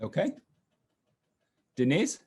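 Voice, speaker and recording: A man hosting an online session, calling on participants one by one in a relaxed, casual way with many fillers. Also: fairly high pitch, slow, slightly rough. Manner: relaxed, friendly, lots of fillers. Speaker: host of online class session. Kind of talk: casual introductions on a video call. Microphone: video call.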